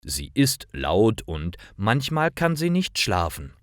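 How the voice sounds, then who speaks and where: A man reading short stories for language learners in a careful, measured, clearly enunciated scripted narration. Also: fairly low pitch, big pitch swings, dry recording, studio quality.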